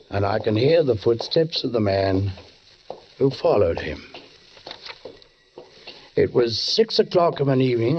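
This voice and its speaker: An actor playing a brooding middle-aged London police sergeant who narrates a murder tale in a measured, formal, ominous 1940s radio style. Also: male voice, medium pitch, slight background noise, close to an everyday voice. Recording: dull 1940s radio recording, faint music.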